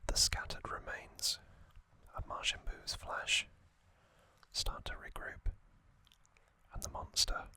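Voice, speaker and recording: A man's voice: takes it slowly, pauses often, pushed and bright. A man whispering in ASMR style as he recounts trivia, hesitant and full of ums and uhs. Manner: hesitant, self-correcting delivery. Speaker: ASMR creator.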